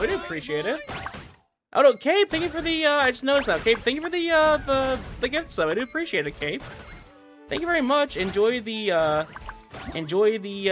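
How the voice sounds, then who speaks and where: A man's voice: high voice, a bit bright. A man livestreaming a game, chatting casually in an enthusiastic, rambling way and often restarting his sentences. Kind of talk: casual chat while streaming gameplay. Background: music.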